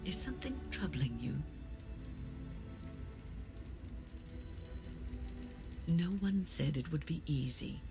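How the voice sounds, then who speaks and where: Older female fantasy game mage: kindly, earnest, formal counselor, measured delivery, music underneath. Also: low voice, sing-song. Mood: kindly, encouraging. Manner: measured, formal, admonishing phrasing. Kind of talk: video game voice acting.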